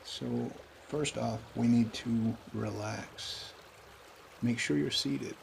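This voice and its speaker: A man guides a meditation with a calm, even voice that barely rises or falls. He speaks very slowly in short, spaced phrases, with a gravelly creak. Soft water trickles faintly behind him. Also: mid-range voice, slight background noise. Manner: calm, unhurried, pausing between short phrases.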